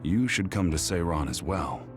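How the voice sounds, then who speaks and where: Game rebel commander: extremely low voice, cold and commanding, music underneath. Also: male voice, lively intonation, moderate pace. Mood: cold, resolute. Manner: disdainful, commanding. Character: cold, scornful major general turned rebel commander. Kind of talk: video game cutscene acting. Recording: music behind the voice.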